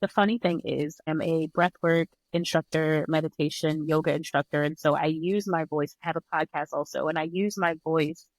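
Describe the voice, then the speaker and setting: A woman's voice: low voice, fairly even intonation, moderate pace, hardly any pauses, no room sound. Woman guest, earnest and reflective, slightly nasal and congested, dry close sound. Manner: reflective, earnest, with frequent "like" fillers. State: recovering from illness. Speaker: podcast guest, breathwork and yoga instructor. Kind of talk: conversational interview.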